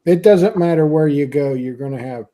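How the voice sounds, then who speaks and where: Pennsylvania man hosting a podcast, relaxed mellow voice, chatty and loose. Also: a little high, normal speed. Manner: chatty, warm laughs, many "you know" fillers. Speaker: podcast host. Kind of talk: casual chat on a livestream.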